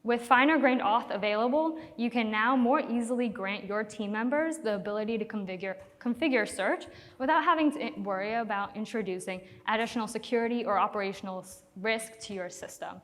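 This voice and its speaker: A woman's voice: fairly high pitch, fairly quick, hardly any pauses, fairly bright tone. A woman giving a conference talk as a product manager, upbeat and a little nervous about her first time on stage. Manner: upbeat, slightly nervous presenter. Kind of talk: conference presentation. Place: large conference hall. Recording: long hall reverb.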